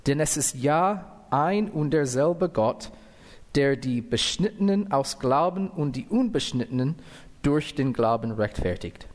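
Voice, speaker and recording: A man's voice: a little high, expressive, darkish tone. An American man with English as his first tongue preaches in a church hall, taking his time over every phrase. His voice carries a long reverb from the room, and he stops now and then to correct himself. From United States. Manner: careful, deliberate, with fillers and self-corrections. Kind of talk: sermon with scripture reading.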